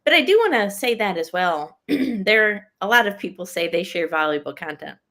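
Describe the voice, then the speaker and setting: A woman's voice: mid-range voice, lively intonation, normal speed, sharp tone. Effusive woman hosting a conversational interview. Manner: effusive, encouraging. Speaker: interview host.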